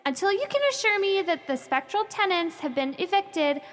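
A woman's voice: extremely high pitch, lively intonation, normal speed, fairly bright tone, long reverb tail. An actress playing a determined, curious young woman in a radio play, dramatic and emphatic. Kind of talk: theatrical radio play acting.

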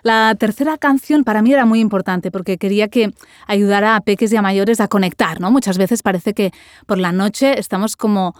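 A woman's voice: medium pitch, brisk, dry recording, studio quality. A woman hosting a podcast interview, engaged and asking follow-up questions.

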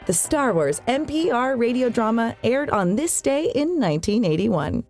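A woman's voice: on the high side, very animated, moderate pace, a little mellow, faint music behind. A woman hosting a podcast, reading scripted narration with wry asides into a close microphone. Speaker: podcast host. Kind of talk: scripted narration of science fiction history.

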